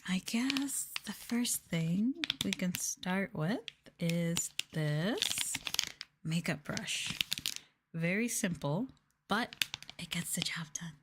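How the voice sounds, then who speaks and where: Female ASMR streamer: hushed, gentle chat that drifts between soft voice and whisper, close-miked. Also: lowish voice, animated, takes it slowly, noisy background. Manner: gentle hushed delivery, often slipping into whisper. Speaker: streamer host of an ASMR livestream. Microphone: close microphone for headphone listening.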